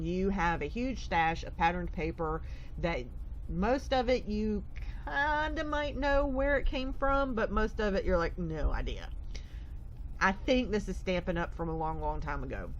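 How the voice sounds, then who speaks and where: A woman's voice: lowish voice, muffled recording, a little hiss or hum. Stuffy-nosed woman chatting through a craft tutorial. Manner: chatty, rambling, self-correcting. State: stuffy nose from allergies.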